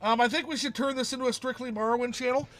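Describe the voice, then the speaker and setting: A man's voice: very high voice, fairly even intonation, fast talker, a bit bright, soft background music. A man hosting a casual game livestream, rambling as he reads out viewer chat and answers it. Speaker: streamer host.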